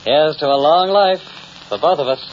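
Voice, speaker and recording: A man acting a suave, pompous gentleman in a vintage radio drama, with formal, flowery and courtly speech. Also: on the high side, moderate pace, close to an everyday voice. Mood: romantic, courtly. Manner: formal, flowery, over-polite diction. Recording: muffled, hissy old radio recording.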